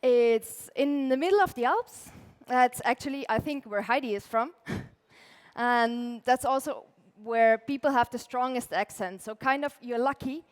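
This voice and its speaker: A young woman with an Austrian accent gives a relaxed talk from a conference stage. Her voice sits a little high. She chats loosely and fills pauses with "like". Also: long reverb tail. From Austria (Tyrol). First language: German. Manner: casual, chatty, many "like" fillers, laughs lightly. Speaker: conference speaker, illustrator.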